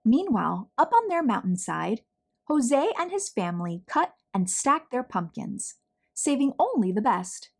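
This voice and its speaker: A woman reads a children's picture book aloud. Her delivery is slow and deliberate, with lively swings in pitch and dramatic emphasis on key words. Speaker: children's story reader.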